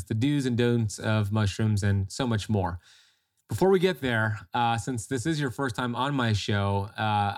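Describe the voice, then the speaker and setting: A man hosting a podcast interview, introducing and questioning his guest in a friendly, enthusiastic way. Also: mid-range voice. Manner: enthusiastic, friendly, some fillers. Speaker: podcast host.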